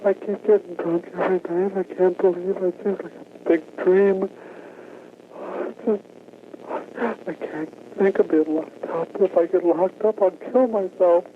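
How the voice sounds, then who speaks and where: A man's voice: high-pitched, steady tone, measured pace, a little hiss or hum. A distraught, crying man with a weepy, whining voice making a confession on an emergency phone call. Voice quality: weepy, whiny. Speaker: anonymous caller confessing to police. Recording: tinny archival police phone recording, music behind.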